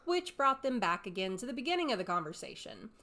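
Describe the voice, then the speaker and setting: A woman's voice: a little high, very animated, quick pace, sharp tone. A woman reading scripted storybook narration as an audiobook narrator.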